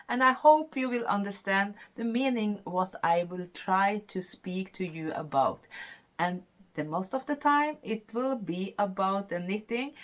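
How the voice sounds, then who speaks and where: A woman from Norway with a Norwegian accent, hosting a knitting podcast in English, a second language she speaks haltingly with many pauses and fillers. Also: mid-range voice. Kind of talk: casual podcast monologue.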